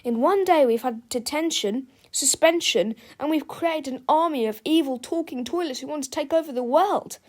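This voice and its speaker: A woman's voice: sits high, normal speed, hardly any pauses, voice pushed up, lightly acted. A woman voicing an anxious, dismayed young schoolboy who cries out in a children's story. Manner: crying out, dismayed.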